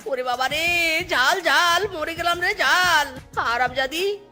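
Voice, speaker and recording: A cartoon jackal husband, fed up and scolding, as a woman voices him. His high, sharp, bright voice snaps with irritation. Light music runs faintly underneath. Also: takes it slowly, heavily acted. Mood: burning, howling. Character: grumbling male jackal husband with two wives. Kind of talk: cartoon voice acting.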